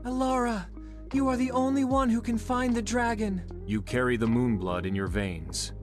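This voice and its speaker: A man's voice: high-pitched, animated, normal speed, over music, pitched above the performer's own voice. A man voicing a solemn, urgent queen and mother in a fairy tale. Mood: solemn urgency.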